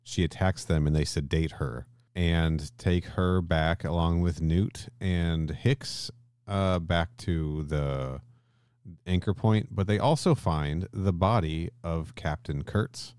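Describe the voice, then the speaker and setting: Very deep-voiced man, casual podcast talk. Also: slow, darkish tone, clean tone, no echo, studio quality. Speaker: podcast co-host. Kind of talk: casual discussion.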